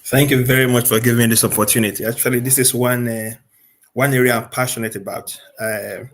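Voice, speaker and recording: A man from Nigeria speaking as a panel guest, measured and polite. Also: medium pitch, level tone. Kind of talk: formal panel introduction.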